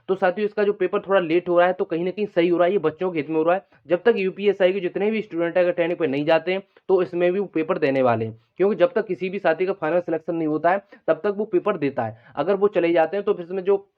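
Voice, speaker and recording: Male video host, slightly high voice, rapid informal delivery, repetitive explaining. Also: narrow, tinny audio. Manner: repetitive, explanatory, frequent check-in tags. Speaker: exam-news video host, coaching aspirants. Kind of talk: informal unscripted exam update monologue.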